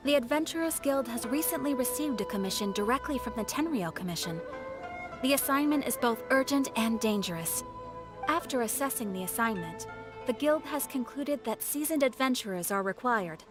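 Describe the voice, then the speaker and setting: A woman's voice: a little high, animated, natural voice. A woman voicing a businesslike guild receptionist in a video game, with a formal, courteous manner. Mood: courteous, serious. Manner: formal, courteous, measured phrasing. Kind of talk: scripted game character dialogue. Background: music.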